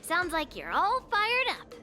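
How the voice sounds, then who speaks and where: A high, bright young swordswoman for a video game, with very lively intonation. She teases and boasts with cocky confidence over faint music. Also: a little slow, full character voice. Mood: wry encouragement. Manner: teasing, haughty, theatrical.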